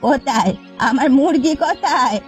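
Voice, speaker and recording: Woman playing a high-pitched, fussy old village woman. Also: normal speed, faint music behind, close to an everyday voice. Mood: suspicious, eager. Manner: exclamatory, dramatic complaining. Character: gullible old village woman, fussy and indignant, doting on her hen. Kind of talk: animated folk-tale cartoon acting.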